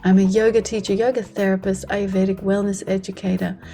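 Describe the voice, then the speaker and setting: A woman's voice: medium pitch, flat delivery, brisk, dark tone, soft background music. A woman speaking as a podcast host, introducing and chatting casually with a guest.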